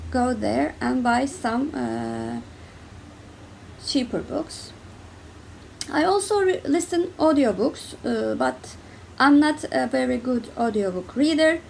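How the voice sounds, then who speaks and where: A young woman with a Turkish accent talks through her reading habits in a loose, halting way, full of fillers and self-corrections. Her voice sits high with a gravelly fry. A faint hiss of noise runs behind her. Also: a little mellow, poor audio. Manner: hesitant, many fillers and restarts, laughs often. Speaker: book vlogger. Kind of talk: casual chat to camera.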